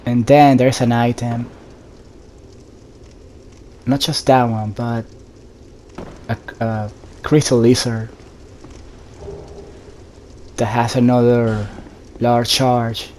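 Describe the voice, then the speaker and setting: A man talks through a video game in a casual, instructive way, speaking straight to the viewer. His voice is mellow and dark, with very little rise and fall. Faint game ambience and background noise sit behind him. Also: mid-range voice, flat delivery, very slow, pauses often. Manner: instructive, addresses viewers directly. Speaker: gaming video host. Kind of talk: casual solo gameplay commentary.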